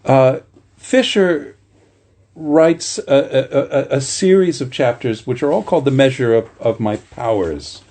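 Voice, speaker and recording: A man reading literature aloud in a measured, deliberate way, with the informal touch of a bedtime story. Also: medium pitch, darkish tone. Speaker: reader at a bedtime story series.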